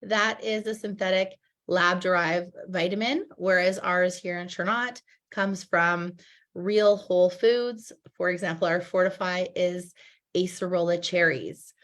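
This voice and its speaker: A woman in her thirties, slightly husky from a cold, hosting a casual online product presentation on a video call with many ums and restarts. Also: lowish voice, steady tone, a little slow, a bit bright. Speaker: host, direct sales presenter.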